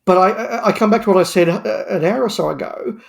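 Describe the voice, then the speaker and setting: A man's voice: high voice, quick pace, creaky. A man discussing audio drama on a podcast, speaking emphatically and repeating words as he builds his argument. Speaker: podcast panelist.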